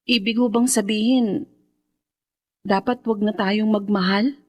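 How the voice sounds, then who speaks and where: An actress playing a troubled grown daughter, earnest and questioning, in a radio horror drama. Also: female voice, mid-range voice, fairly even intonation, measured pace, a little mellow, natural voice. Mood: dismayed.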